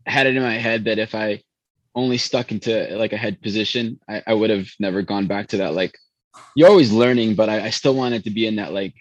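A man's voice: fairly low pitch, steady tone, brisk, no echo, muffled recording. A man who spoke Spanish first, a pastry chef telling long rambling stories full of restarts in an interview. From born in Chicago, raised in Venezuela. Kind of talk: casual interview storytelling.

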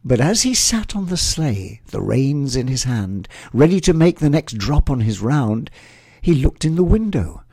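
Gravelly, husky man telling a rhyming story. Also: medium pitch, very animated, measured pace, warm tone, dry recording. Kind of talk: storytelling narration of a rhyming Christmas tale.

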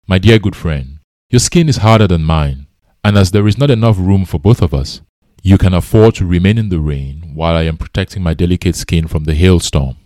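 A man reads as a storybook elephant, a sly minister of the jungle. The voice is low and dry, smooth in manner, superior and sure of its own importance. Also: brisk, warm tone, no room sound, lightly acted. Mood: smug. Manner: soothing, authoritative, superior.

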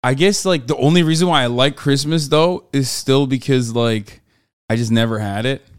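A man's voice: medium pitch, moderate pace, clean tone. A man from Turkey co-hosting a podcast, joking and swearing loosely as he rambles in casual chat. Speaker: podcast host.

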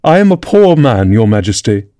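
A man's voice: on the high side, wildly swooping pitch, normal speed, a little mellow, voice pushed up. A man voicing a nervous, pitiful hat-seller in a children's storybook, with a trembling, anxious manner. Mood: anxious, pleading.

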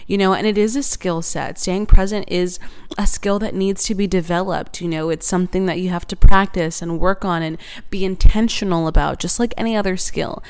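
A woman's voice: fairly low pitch, steady tone, a little fast, darkish tone, clean tone. A woman in her twenties hosting a reflective faith podcast, earnest and chatty, laughing now and then and using many fillers. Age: 20s. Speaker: podcast host.

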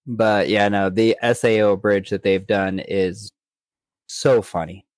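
A man's voice: on the low side, flat delivery, measured pace, no room sound, studio quality. A man co-hosting a podcast in casual, conversational chat. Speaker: podcast co-host.

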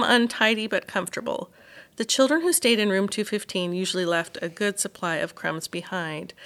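A woman's voice: mid-range voice, fairly bright tone. A woman who teaches elementary school, reading a children's novel aloud as an audiobook narrator.

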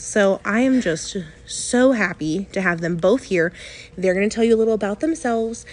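Woman, podcast host, effusive, laughs easily, average pitch. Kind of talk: casual chat.

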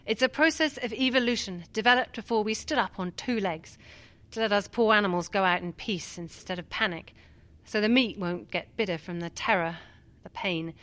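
A woman's voice: medium pitch, moderate pace, fairly bright tone, natural voice. A woman acting in a radio drama as a grieving, skeptical doctor, defensive and argumentative. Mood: dry, defensive. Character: grieving skeptical female doctor, former army chaplain, defensive. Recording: music behind.

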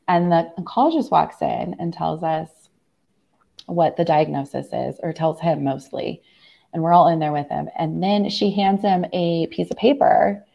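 Husky-voiced woman recovering from laryngitis. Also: deep voice, a little mellow. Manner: earnest, rambling with many fillers. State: hoarse after laryngitis. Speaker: guest. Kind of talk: personal testimony in a conversation.

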